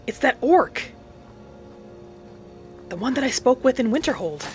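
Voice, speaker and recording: A woman's voice: high-pitched, very animated, unhurried, soft background music. A woman giving in-character commentary on a roleplay game, musing and asking questions as she goes. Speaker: gamer, host of roleplay playthrough.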